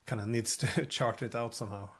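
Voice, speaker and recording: A man's voice: mid-range voice, steady tone, normal speed. A man hosting an interview, talking in a hesitant, conversational way. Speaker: interview host.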